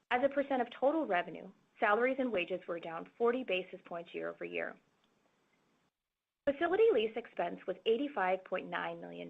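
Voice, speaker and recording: A woman executive reading a scripted financial presentation in a formal, measured, businesslike way. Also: fairly low pitch, creaky. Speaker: corporate finance executive presenting on an earnings call. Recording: phone-like, narrow-band conference call audio.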